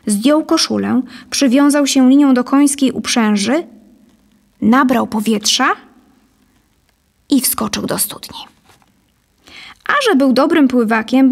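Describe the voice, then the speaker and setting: A woman in her thirties narrating a scripted story deliberately and expressively into a close studio microphone. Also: on the high side, creaky. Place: dim studio set, indoors. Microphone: studio condenser on a boom, close.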